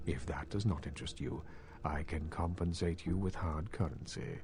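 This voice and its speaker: An actor playing an aloof, courteous ancient vampire elder in a video game, speaking in a formal, measured, pompous way. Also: male voice, a bass voice. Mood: grave, measured. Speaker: game character giving rewards. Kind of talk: scripted game dialogue. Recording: loud ambient noise behind.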